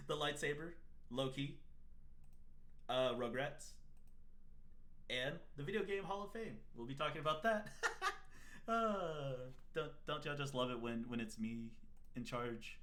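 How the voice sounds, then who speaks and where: A young man hosts a casual nerdy talk show in a loose, rambling way, often laughing at his own slips. His voice is bright and forward at a middling pitch. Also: slow pace, long pauses. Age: 20s. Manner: loose, rambling, self-deprecating. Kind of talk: casual podcast hosting.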